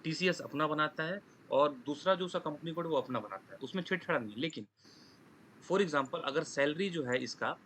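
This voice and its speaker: A man's voice: on the high side, expressive, normal speed, fairly bright tone, a little hiss or hum. A man with an Indian accent teaching a live online class, rephrasing his points, checking understanding with short questions and mixing in English technical terms. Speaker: online course instructor. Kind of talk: live lesson explaining with examples. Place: online class with screen sharing.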